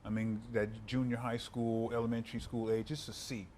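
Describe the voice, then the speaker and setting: A man's voice: mid-range voice, level tone, a little mellow, a little hiss or hum. A man hosting a casual interview show, upbeat and full of affirmations, often restarting his sentences. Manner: enthusiastic, constant backchannel, halting restarts. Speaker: talk show host, pastor.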